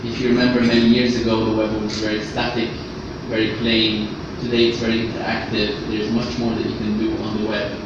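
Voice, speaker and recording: A man raised in Austria giving a workshop presentation in a halting way, often repeating words as he goes. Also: fairly low pitch, monotone. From Austria, born in Egypt. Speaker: conference speaker, web standards specialist. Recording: echoey, noisy room, distant muffled microphone.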